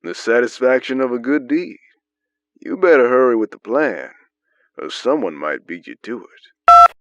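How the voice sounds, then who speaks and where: A man playing a smug, scheming manipulator in an audio drama, with a theatrical, unhurried delivery. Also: medium pitch, expressive, sharp tone. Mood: persuasive, scheming. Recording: dull lo-fi, roomy echo.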